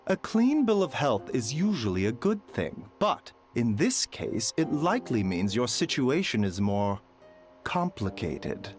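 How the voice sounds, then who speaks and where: An actor playing a calm, courteous male doctor who speaks in a measured, formal way. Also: medium pitch, soft background music.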